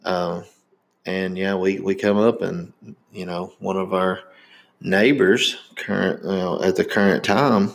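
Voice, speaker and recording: A man's voice: deep voice, fairly even intonation, unhurried, gravelly. A man in his thirties from Indiana with a Southern accent, hosting a podcast in casual, rambling chat. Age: 30s. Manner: rambling, many fillers and restarts. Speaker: podcast host.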